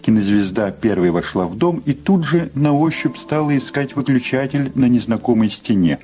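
A man's voice: medium pitch, animated, normal speed, warm tone. A man narrating an audio drama in a dramatic, scripted storytelling style. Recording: clear music behind the voice.

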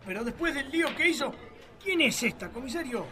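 A man's voice: very high voice, animated, a little fast, pushed and bright, faint music behind, heavily acted. An actor playing a scheming, sneering Argentine rich man in a radio drama, bossy toward the police chief. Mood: scornful. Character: scheming rich rancher villain.

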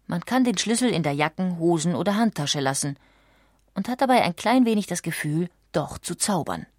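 A woman narrates a documentary script in a lowish voice. Her delivery is measured and articulate, and the recording is dry and close, with very little room sound. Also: lively intonation, darkish tone, no echo. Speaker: audiobook narrator.

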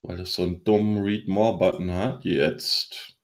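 A man co-hosting a podcast, commenting on news in a halting way as he reads from his notes. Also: sits low, steady tone. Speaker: podcast co-host.

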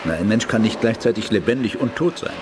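A man playing a skeptical male professor in a science fiction radio play. He speaks in a fairly low voice, with clear noise in the background. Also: lively intonation, fast talker, close to an everyday voice. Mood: incredulous. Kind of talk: 1980s German audio drama acting.

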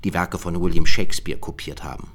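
An actor playing a wary, sceptical cold-case investigator in a naturalistic radio drama, questioning in a pensive, dry manner. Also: male voice, sits low, steady tone, a little fast, a bit bright. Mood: irritated, probing.

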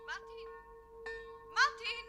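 A woman's voice: extremely high pitch, takes it slowly, bright, forceful tone, soft background music, full character voice. A woman in a German radio play acting an anxious, disapproving pastor's wife. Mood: worried, disapproving.

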